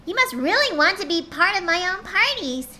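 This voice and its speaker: A woman's voice: very high-pitched, very animated, moderate pace, noisy background, voice pushed up. A woman voicing a creepy, giggling evil twin of a female pony, taunting in a sing-song way.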